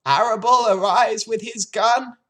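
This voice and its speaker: A woman's voice: on the high side, unhurried, fairly bright tone, pitched above the performer's own voice. A woman voicing a blunt, snappish old female sheep in a storybook, grimly knowing and emphatic. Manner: blunt, emphatic, matter-of-fact. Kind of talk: storybook character voice.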